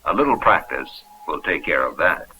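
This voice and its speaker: A man's voice: rumbling low, sing-song, normal speed, pushed and bright. An American man reading scripted narration for a 1970s instructional film in a folksy, upbeat, explanatory manner. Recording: dull, noisy vintage 16mm film soundtrack.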